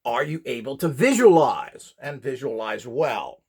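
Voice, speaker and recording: A man's voice: medium pitch, very animated, unhurried, darkish tone, slightly rough. A man instructor speaking informally as he demonstrates, with a blunt, opinionated and emphatic manner. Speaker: instructor selling courses and devices.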